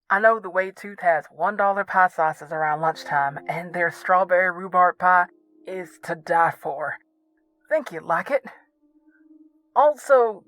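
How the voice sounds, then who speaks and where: Shy, sweet young guy a man acting for audio drama voicemail; high, casual, hesitant delivery over faint music. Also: a bit bright, no echo, poor audio. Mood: casual, hopeful.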